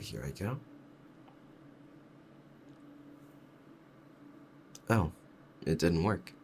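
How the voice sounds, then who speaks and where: A man playing a doting, possessive fox-spirit husband in an intimate ASMR roleplay, talking softly in a rambling, hesitant, lovestruck way. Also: extremely low pitch, a little hiss or hum. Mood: playful, doting.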